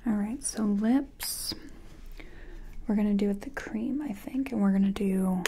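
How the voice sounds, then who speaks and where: A woman playing a nervous makeup contestant in a soft-spoken ASMR roleplay, whispering hesitantly as she thinks aloud. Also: medium pitch, measured pace, husky. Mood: nervous, focused. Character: nervous female makeup artist competing in a contest. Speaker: ASMR performer.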